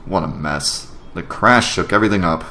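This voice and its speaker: A man's voice: sits low, normal speed, bright, forceful tone, slight background noise. A man voicing a sarcastic, complaining male technician in a story game. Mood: grumbling. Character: wisecracking, grumbling male memory technician on the job.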